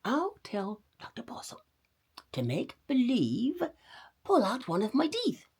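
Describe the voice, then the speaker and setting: An eager, conspiratorial old rabbit gentleman from a children's storybook , acted out by a woman. The speech swings widely in pitch, slows down for effect and has a soft, husky grain. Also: huge pitch swings, heavily acted. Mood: scheming. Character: elderly gentleman rabbit, eager, sly and kindly schemer.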